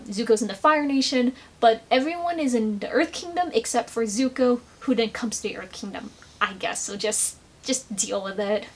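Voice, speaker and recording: High-voiced woman giving a casual recap. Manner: animated, sarcastic asides. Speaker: video commentator. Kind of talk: casual fan comic recap commentary.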